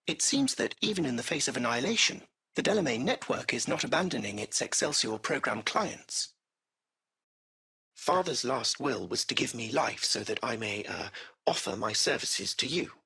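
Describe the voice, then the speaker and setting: An artificial-intelligence car driver from a video game. The voice is rough and breathy with a gravelly creak, and it swings widely in pitch. The phrasing is formal and courteous, yet hesitant and anxious, taken at an unhurried pace. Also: male voice, bright, forceful tone, full character voice. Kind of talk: acted video game dialogue.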